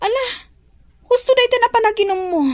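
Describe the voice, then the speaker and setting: A woman's voice: very high-pitched, fast. A woman with an Ilocano accent playing a sweet, long-suffering young wife in a comedy drama. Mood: patient, resigned.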